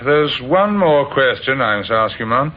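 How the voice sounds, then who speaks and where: An actor playing a courteous, probing British police inspector who muses and hesitates, in an old-time radio crime drama. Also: male voice, mid-range voice, lively intonation, close to an everyday voice. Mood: gentle, persistent. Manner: hesitant, musing, full of uhs and pauses. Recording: tinny, noisy old radio recording.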